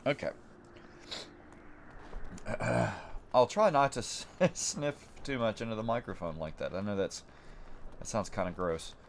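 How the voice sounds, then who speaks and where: Male let's-play host, very low voice, stuffy nose, rambling chatter, faint noise behind. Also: measured pace, vocal fry, pauses often. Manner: rambling, repeats words as he thinks. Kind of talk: casual gameplay commentary.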